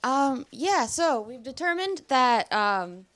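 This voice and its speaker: A woman's voice: sits high, sing-song, slow pace, a bit bright. A woman talking informally as a discussion participant, searching for words and repeating herself. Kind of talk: informal spoken interpretation. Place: live group event.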